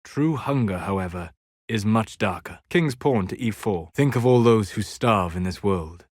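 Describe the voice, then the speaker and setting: A male actor playing a learned, grave and dryly scornful elven mage in a video game, speaking in measured, formal, articulate lines. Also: fairly low pitch, lively intonation, no echo, studio quality. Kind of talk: dramatic game dialogue lines.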